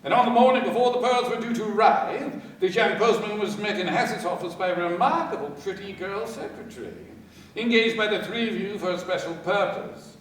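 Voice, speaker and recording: An actor playing a composed police inspector, speaking formally with dry irony in a radio crime drama. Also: male voice, sits high, natural voice. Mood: explaining, assured. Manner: measured, formal, dryly ironic. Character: composed, dryly triumphant male police inspector. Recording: very echoey, distant, faint noise.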